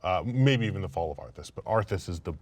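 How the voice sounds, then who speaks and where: A man with a deep voice chats at a quick pace as a show panelist. He is informal and blunt, talking as casually as he would with friends. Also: animated. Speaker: panelist on a film and gaming discussion show. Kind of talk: casual chat.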